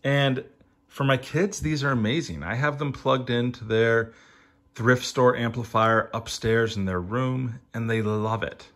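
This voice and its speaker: A middle-aged man giving a reflective personal review, in short emphatic phrases with rhetorical questions and halting pauses. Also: medium pitch, fairly bright tone. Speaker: audio hobbyist reviewer.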